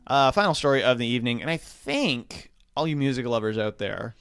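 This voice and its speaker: A man talks through a strange news story on a casual podcast, pausing often with fillers. His voice is smooth and clear. The sound is dry and close, with no room around it. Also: mid-range voice, dry recording. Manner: relaxed, hesitant with many fillers. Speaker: podcast co-host reading news stories. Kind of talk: casual chat.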